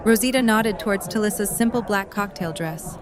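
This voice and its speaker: A woman's voice: mid-range voice, brisk. A woman reading scripted story narration as the narrator of an audio drama. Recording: music and crowd chatter behind.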